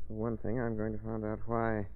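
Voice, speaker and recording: An actor playing a composed, inquisitive gentleman sleuth in an old-time radio drama, polite and measured. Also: fairly low pitch, monotone, natural voice. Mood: curious, intent. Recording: dull vintage radio recording.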